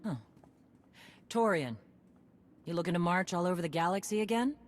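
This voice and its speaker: A woman's voice: lowish voice, moderate pace, faint music behind, lightly acted. An actress playing a tough veteran female warrior clan leader, blunt and commanding. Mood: gruff.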